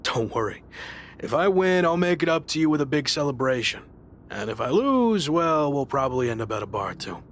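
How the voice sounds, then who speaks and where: An actor playing an earnest, determined pro wrestler on a comeback, talking in a measured, conversational way in a video game cutscene. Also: male voice, a little high, very animated, a little hiss or hum. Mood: friendly, sincere.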